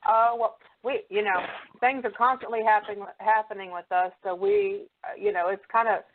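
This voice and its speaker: A woman's voice: mid-range voice, animated, moderate pace. A woman co-hosting a podcast, chatting casually with a guest. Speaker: podcast co-host. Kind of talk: casual chat. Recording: narrow phone-like audio.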